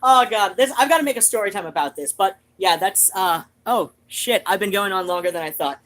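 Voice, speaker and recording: A woman hosting a show, chatting casually in an animated, digressive, freely swearing way. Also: medium pitch, a little fast. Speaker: host of a reading and chat show. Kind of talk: rambling casual personal chat.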